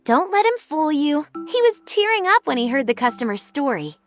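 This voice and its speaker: A woman voicing a tiny, excitable, earnest restaurant helper in a video game. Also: high voice, moderate pace. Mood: eager, fretful. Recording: music under the voice.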